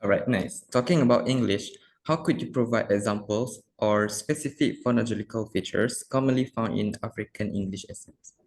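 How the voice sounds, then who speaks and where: A man's voice: lowish voice, normal speed, few pauses, rough recording. A man interviewing a guest, giving brief acknowledgements and then reading out his questions.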